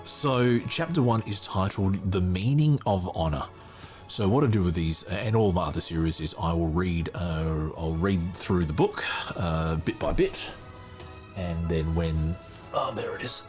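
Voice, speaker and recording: A man with a low voice hosts a casual podcast, commenting live as he reads. He talks haltingly and rambles off on small tangents, with faint music behind him. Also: a little mellow. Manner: rambling, halting, easily sidetracked. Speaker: podcast host. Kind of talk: casual live commentary while reading a book.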